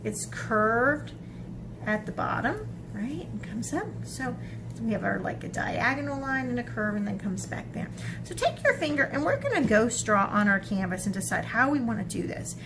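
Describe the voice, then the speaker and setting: A woman's voice: on the high side, very animated, normal speed, noisy background. A woman teaching a children's drawing lesson step by step in an informal, encouraging way, thinking aloud as she goes.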